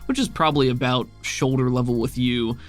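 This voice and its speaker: A man's voice: mid-range voice, fairly even intonation, fairly quick, faint music behind. A man working as game master, casually improvising narration and rulings for a tabletop game.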